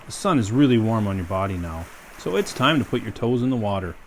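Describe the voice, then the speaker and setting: Gravelly man reading a children's story. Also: lowish voice, sing-song, quick pace, a little hiss or hum. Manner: gentle, questioning storyteller. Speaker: park ranger.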